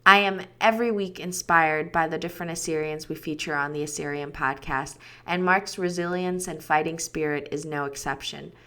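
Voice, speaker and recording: Bright, upbeat woman hosting a podcast. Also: deep voice, steady tone, normal speed, hardly any pauses. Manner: upbeat and enthusiastic. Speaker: podcast host. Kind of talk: scripted podcast introduction.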